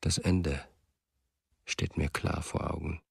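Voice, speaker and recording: A haunted, introspective narrator in a radio play. His voice is extremely low and gravelly, held nearly monotone in a sombre manner. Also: slow, a little hiss or hum, heavily acted. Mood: bleak, confessional. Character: brooding, haunted male narrator searching for a lost friend. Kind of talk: literary radio drama monologue.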